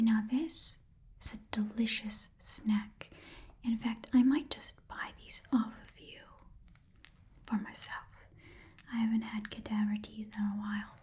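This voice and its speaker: A woman voicing a shady, cheerful goblin pawn shop keeper in a breathy ASMR whisper, with casual, rambling sales patter. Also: mid-range voice, steady tone, slow, mellow, close to an everyday voice. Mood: chatty, pleased. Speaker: ASMR role-play performer. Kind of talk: whispered ASMR shopkeeper role-play.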